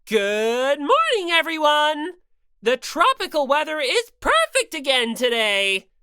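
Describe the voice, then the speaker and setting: Woman acting a male bear mascot villain, theatrical, taunting, hugely animated, dry close sound, audiobook character. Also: high-pitched, slow, dry recording, full character voice. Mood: mock-cheerful announcing. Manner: theatrical, taunting, mock-polite then bellowing. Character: gleefully cruel, bossy, sarcastic robot bear mascot, male.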